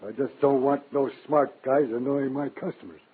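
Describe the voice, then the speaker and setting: An actor playing a wary, grumbling flophouse clerk in a 1950s radio drama, rough-voiced and talking in uneducated street slang. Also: male voice, mid-range voice, fairly even intonation, moderate pace, slight background noise, lightly acted. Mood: grumbling, placating. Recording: narrow, lo-fi old radio recording.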